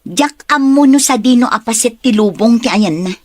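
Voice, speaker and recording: A woman's voice: on the high side, animated, normal speed, natural voice. A woman acting a resentful, blunt young woman in an Ilocano audio drama.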